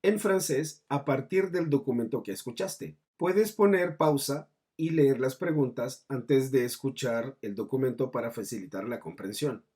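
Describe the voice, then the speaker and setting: Husky male teacher narrating a lesson. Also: mid-range voice, unhurried, few pauses, a little mellow. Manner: didactic, mixing in French terms. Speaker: language teacher. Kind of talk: scripted explanatory lesson narration.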